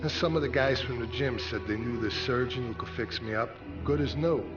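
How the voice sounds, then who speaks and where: An actor playing a bitter, disfigured small-time boxer, brooding and streetwise, in a video game cutscene monologue. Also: male voice, mid-range voice, brisk, dull audio, lightly acted. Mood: bitter recollection. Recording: game audio with loud music. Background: loud music.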